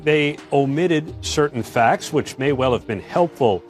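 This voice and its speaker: A man's voice: medium pitch, a little slow. A man delivers a live correspondent's report from a newsroom. His voice sits in the middle of the male range, with faint music behind it. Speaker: news correspondent.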